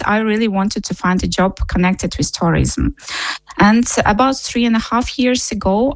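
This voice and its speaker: A woman with a Ukrainian accent gives prepared interview answers. Her voice sits at a medium pitch, recorded dry with very little room sound. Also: dry recording, low-quality recording. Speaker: tourism manager being interviewed.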